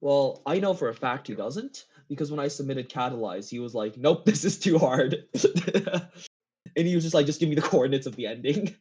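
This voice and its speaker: Mellow man, dull lo-fi mic. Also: medium pitch, expressive, husky. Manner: halting, repeats phrases, giggly. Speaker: interview guest, game map builder. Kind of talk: casual gaming chat. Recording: dull lo-fi voice chat.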